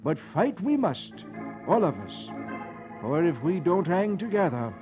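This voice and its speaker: Muffled old radio sound carries an actor as a grave elder statesman. The man's voice is fairly high, with solemn, measured oratory fit for a 1950s radio history play. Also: very animated, music in the background. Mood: grave, resolute.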